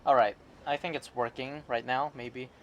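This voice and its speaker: A man streaming a game, giving casual, halting commentary in short, repetitive reactions. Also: medium pitch, steady tone. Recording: game music behind the voice.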